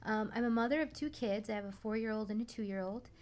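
A woman's voice: on the high side, quick pace, darkish tone. A young adult woman explaining things conversationally as a guest expert in a radio interview, often repeating words as she goes. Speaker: guest expert, massage therapist.

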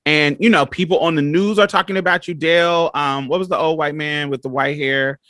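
A young man leads the conversation with a fairly high voice, asking loose, rambling questions full of casual fillers. His voice is recorded dry and close, with no room sound. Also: steady tone, fairly quick, pushed and bright, no room sound. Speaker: interviewer. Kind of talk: conversational interview.

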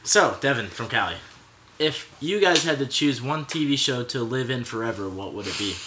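A young man co-hosting a podcast, reading out listener questions with dry, deadpan remarks. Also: mid-range voice, moderate pace, slight background noise. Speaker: podcast co-host. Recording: roomy echo.

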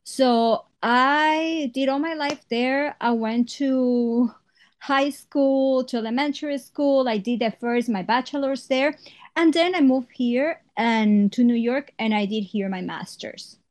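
A woman from Ecuador with an Ecuadorian Spanish accent, a Spanish teacher and guest, chatting in an animated way with laughs and restarts. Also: high-pitched, slow pace. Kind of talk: casual interview talk.

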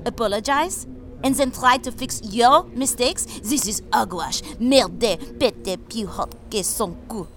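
Woman acting a high-voiced, devout French warrior maid. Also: measured pace, slight background noise. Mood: defiant. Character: devout, blunt young French warrior saint on trial. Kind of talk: comedic audio drama acting.

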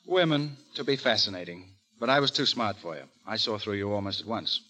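An actor playing a sheltered, stiff and conceited young heir in a 1930s radio comedy, earnest and self-assured. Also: male voice, on the low side, lively intonation, fairly quick, bright, forceful tone, low-quality recording. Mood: suspicious, smug. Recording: vintage 1930s radio broadcast.

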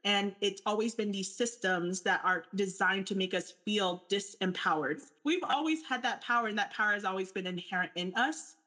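A woman's voice: medium pitch, moderate pace, few pauses, bright, forceful tone. A young adult woman speaking earnestly and candidly in a panel discussion, talking through her thoughts with fillers. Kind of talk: personal reflection in a panel discussion.

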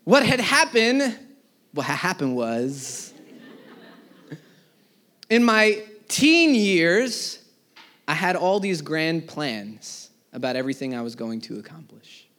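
High-voiced man in his thirties preaching. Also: very animated, slow, pauses often. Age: 30s. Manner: preaching with swelling emphasis that sinks to quiet phrase endings. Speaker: pastor. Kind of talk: sermon. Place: church service before a live congregation.

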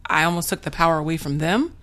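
A woman's voice: deep voice, quick pace, a bit bright. A young adult woman talking frankly in a casual chat, rambling with many fillers and restarts. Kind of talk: candid conversational chat.

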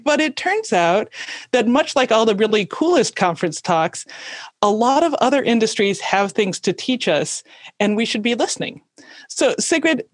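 A woman's voice: medium pitch, no echo. A woman, hosting a podcast and interviewing in a conversational way.

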